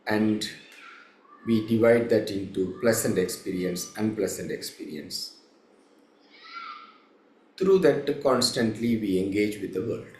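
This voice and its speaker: Male meditation instructor, lowish pitch, very slow pace, halting short phrases, long pauses, echoey room. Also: lively intonation, darkish tone. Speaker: meditation teacher. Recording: roomy, echoing room sound.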